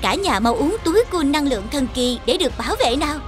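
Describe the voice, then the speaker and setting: A woman voicing a caring, encouraging superhero mother in an animated series. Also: sits high, quick pace. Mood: concerned. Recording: music under the voice.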